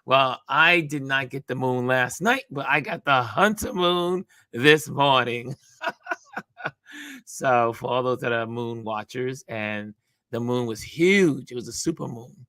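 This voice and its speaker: A man with a raspy voice hosting a casual live stream, reading viewer comments aloud and greeting people with upbeat shout-outs. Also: mid-range voice, unhurried, no echo. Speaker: host.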